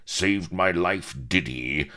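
A man voicing a big, furious, blustering pirate who howls in rough rustic dialect, in a storybook reading. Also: extremely low pitch, very animated, slow, fairly bright tone, exaggerated voice. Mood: outraged bluster. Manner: howling, dialect-heavy bluster.

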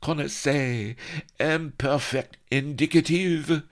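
A man voicing an excitable pirate captain in an audiobook, who babbles French phrases in a shrill, gleeful voice. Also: slow, gravelly, exaggerated voice. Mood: exultant.

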